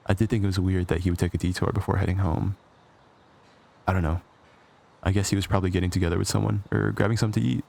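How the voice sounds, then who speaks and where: Casting: male actor for cocky villain with a soft spot, low and creaky, conversational, sarcastic, close ASMR roleplay. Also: level tone, fast, long pauses. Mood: smug, fond. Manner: teasing, sardonic, conversational. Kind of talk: intimate ASMR roleplay acting.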